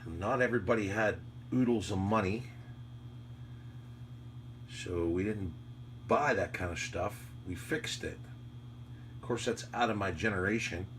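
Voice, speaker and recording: A man's voice: lowish voice, a little slow, pauses often, fairly bright tone. An American man, an amateur radio operator, rambling casually and nostalgically in a reflective, conversational monologue. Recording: clearly noisy audio with hum.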